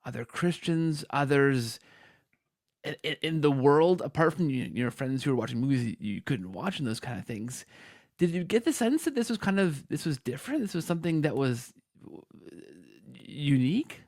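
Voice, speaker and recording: A man's voice: fairly high pitch, lively intonation, normal speed, darkish tone, dry recording, clean studio sound. A man hosting a conversational interview, talking in a rambling, anecdotal way. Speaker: podcast host interviewer.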